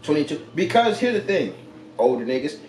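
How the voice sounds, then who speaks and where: A man in his late twenties co-hosting a podcast, loud and animated, talking in slang and joking a lot. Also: on the high side, brisk, echoey room, slight background noise, low-quality recording. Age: 20s. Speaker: podcast co-host. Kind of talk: casual chat.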